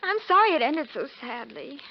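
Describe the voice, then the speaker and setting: A sweet, scatterbrained detective's secretary from 1940s radio drama. Her voice is high and lively in pitch, with a gushing, naive earnestness. The old recording is dull and faintly noisy. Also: moderate pace. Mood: sorrowful.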